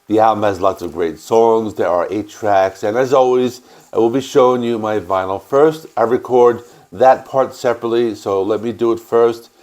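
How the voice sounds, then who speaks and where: A man with a stuffy cold, hosting a music channel and calmly explaining an album's history in a casual, matter-of-fact way. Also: lowish voice, moderate pace. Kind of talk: casual record review and band history.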